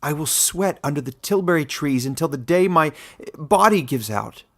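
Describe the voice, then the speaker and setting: A man voicing a young outcast log splitter in a fantasy story, emotional and halting, bitter yet humble. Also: fairly high pitch, animated, fairly bright tone, voice pushed up. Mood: bitter, anguished. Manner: halting, emotional, stammering apologies and protests.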